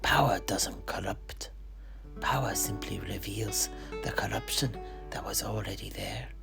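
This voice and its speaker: A performer voicing a grave old master who teaches in a hushed, deliberate, ominous way. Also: sits low, vocal fry, whispered, loud music behind the voice, exaggerated voice. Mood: solemn, ominous.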